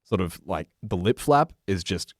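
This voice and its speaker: A man's voice: low-pitched, animated, moderate pace, dry recording, studio quality. A man in a casual review chat, analytical and a little hesitant as a podcast guest.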